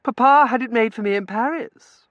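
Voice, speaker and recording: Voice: medium pitch, very animated, measured pace, dry recording, narrow, tinny audio, voice pushed up. A narrator voicing a spoiled, affected young lady who coos in fluttery, prissy baby talk in a storybook reading. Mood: squealing, indignant.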